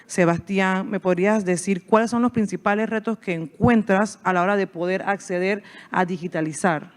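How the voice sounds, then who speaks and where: Brief: female moderator, smooth and resonant, long reverb from a large hall. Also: medium pitch, steady tone, normal speed. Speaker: panel moderator. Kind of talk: moderating a conference panel. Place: conference hall.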